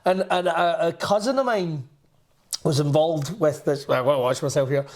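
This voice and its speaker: A man's voice: a little high, moderate pace. A Scottish man co-hosting a podcast, ranting in a sweary, exasperated way during casual chat. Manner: animated, sweary, exasperated rants. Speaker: podcast co-host.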